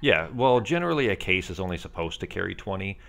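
A man with a very deep, gravelly voice talks quickly and chattily, explaining the rules to the table. There is a faint hiss on the voice chat line. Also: very animated, fairly bright tone, soft background music. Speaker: player. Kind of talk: casual tabletop game session over voice chat.

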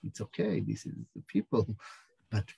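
A middle-aged man with an Israeli accent, a film director answering questions over a video call, halting and searching for words. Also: on the low side, big pitch swings, gravelly, mellow, husky, no room sound, low-quality recording. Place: small room indoors.

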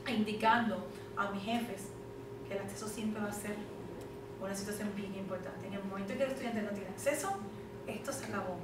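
A middle-aged woman, speaking as a panel moderator in a fluent, emphatic lecturing manner. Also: medium pitch. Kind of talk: conference presentation. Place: classroom, indoors. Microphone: far camera. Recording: echoey classroom from far off, noisy.

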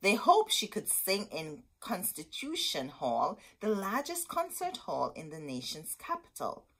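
A woman's voice: medium pitch, wildly swooping pitch, measured pace, fairly bright tone, husky. A middle-aged woman reading a children's picture book aloud in a dramatic, emphatic storytelling manner. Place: indoor room with bookshelves.

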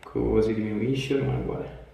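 A man's voice: lowish voice, fairly even intonation, fairly quick, gravelly, mellow. A man, a chiropractor giving short, clipped directions to a patient in a small treatment room. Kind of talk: hands-on instructions to a patient, casual chat. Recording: very echoey room, distant mic, faint noise.

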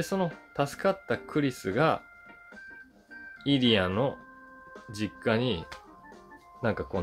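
A man in his forties chatting casually through a movie review in an animated, conversational storytelling way. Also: on the low side. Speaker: film reviewer, voice actor. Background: soft guitar music.

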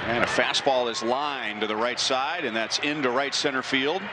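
Steady baseball play-by-play man. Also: mid-range voice, moderate pace, heavy background noise. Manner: steady, informative delivery. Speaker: play-by-play announcer.

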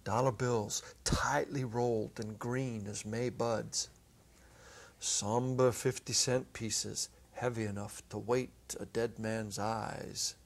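A middle-aged man narrating a story dramatically from a script, with deliberate phrasing and pauses. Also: medium pitch, steady tone. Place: small indoor stage set. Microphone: clip-on lapel mic.

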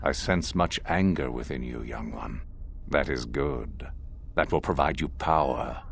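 A man's voice: extremely low pitch, unhurried, creaky, slight background noise, full character voice. A man playing a commanding older Sith master in a video game, speaking in theatrical, condescending oratory. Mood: ominous. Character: commanding older male Sith master, sinister, solemn and goading. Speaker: academy master addressing recruits. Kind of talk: dramatic scripted video game acting.

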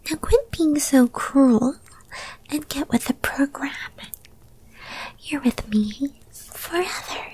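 A woman voicing a possessive, lovestruck young witch girlfriend in an ASMR roleplay, in a breathy, cooing and controlling manner. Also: high-pitched, animated, slow, a little mellow, poor audio. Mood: stern, possessive.

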